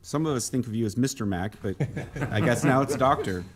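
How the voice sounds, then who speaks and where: A man's voice: mid-range voice, a little fast, noisy background. A man chairing a council meeting, brief and courteous, heard from a distant microphone. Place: council chamber.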